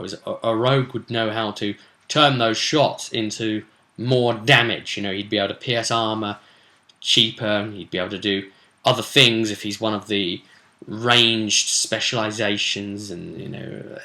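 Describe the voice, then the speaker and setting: A man's voice: fairly low pitch, pushed and bright. A man with a British accent giving a chatty, emphatic recap of a tabletop roleplay session as its host, talking straight to his audience. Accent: British English. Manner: emphatic, conversational, addressing the audience. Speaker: game master and host.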